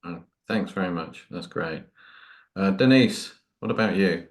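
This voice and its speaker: A man's voice: low-pitched, measured pace. A man hosting a group session, informally inviting each participant to speak. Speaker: course host. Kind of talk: informal chairing of introductions.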